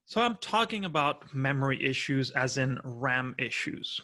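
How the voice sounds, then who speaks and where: Bright-voiced Argentine man giving a talk. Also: mid-range voice, expressive, unhurried. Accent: Argentine Spanish. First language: Spanish. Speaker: conference speaker. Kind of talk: prerecorded conference talk.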